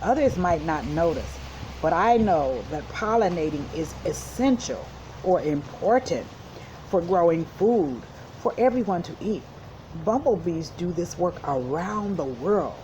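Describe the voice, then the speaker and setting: A woman voicing a small, proud female bumblebee in a children's storybook, shrill, instructive and encouraging. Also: lively intonation, a little slow, dark tone, slight background noise, low-quality recording. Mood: earnest, encouraging. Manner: instructive, reassuring. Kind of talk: children's storybook read-aloud.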